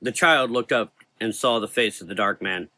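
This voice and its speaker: Guy narrating a gothic horror story, lowish crisp voice, totally dry no room sound. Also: normal speed, sharp tone, dry recording.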